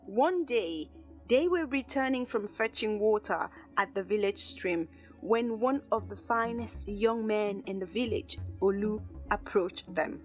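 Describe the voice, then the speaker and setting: Storyteller: woman, unhurried folktale narration, medium pitch, light music underneath. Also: a little mellow.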